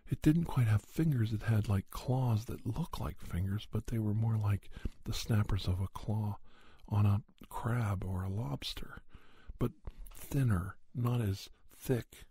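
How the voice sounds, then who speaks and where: A man telling a spooky first-person story in a soft, breathy ASMR style, often near a whisper, in deliberate short phrases with pauses. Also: fairly low pitch, no room sound. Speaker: podcast storyteller.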